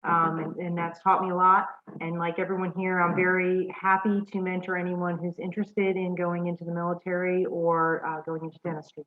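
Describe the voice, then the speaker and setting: A woman's voice: fairly low pitch, little pitch movement, brisk, hardly any pauses. A woman speaking sincerely and gratefully on a video call. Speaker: dentist, alumna speaker. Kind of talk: virtual panel introduction. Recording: tinny phone-like audio.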